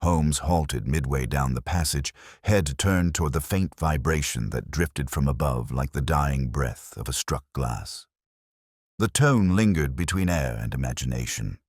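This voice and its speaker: A man with an extremely low voice narrates scripted mystery fiction. His delivery is solemn and measured, yet the pitch moves expressively, in a dry, close sound. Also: expressive, slightly rough, no room sound. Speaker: audiobook narrator of a detective story. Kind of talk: scripted dramatic story narration.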